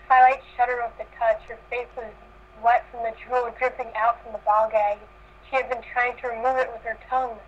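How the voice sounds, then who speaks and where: Higher-pitched woman reading aloud, muffled audio. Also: level tone, a little fast, slight background noise. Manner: reading aloud, breaking off to comment. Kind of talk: amateur group reading of fan fiction.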